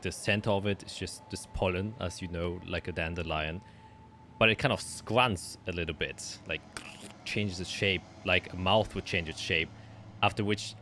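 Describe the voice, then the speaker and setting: A man's voice: sits low, sharp tone, slight background noise. A man improvising narration as game master of a tabletop fantasy game.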